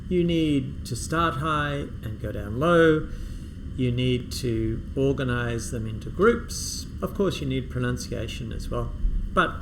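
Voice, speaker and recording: A man at a middle pitch explains a reading strategy like a patient tutor, then models sample sentences with big pitch rises and careful pauses. His voice sits over a clear layer of background noise. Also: expressive. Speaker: English test teacher.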